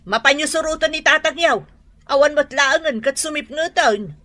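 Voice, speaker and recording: A woman's voice: high-pitched, moderate pace, bright, forceful tone. A woman with an Ilocano accent playing a nagging, exasperated mother and wife in a radio drama. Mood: impatient. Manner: nagging, emphatic scolding.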